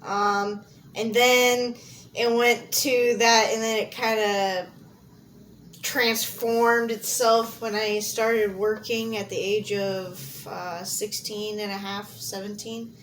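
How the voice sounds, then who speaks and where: A woman's voice: medium pitch, flat delivery, takes it slowly, a bit bright, poor audio. A young American woman from Montana giving candid, informal answers as an interviewee, thinking aloud as she goes. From Montana, United States. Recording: clear background noise, amateur audio log.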